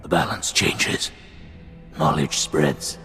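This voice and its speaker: An actor playing an ominous, cryptic servant of a dark council in a sci-fi game, speaking in terse pronouncements with a gravelly voice. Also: male voice, slow, exaggerated voice. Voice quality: gravelly, very deep. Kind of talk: scripted sci-fi game acting. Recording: long hall reverb under music.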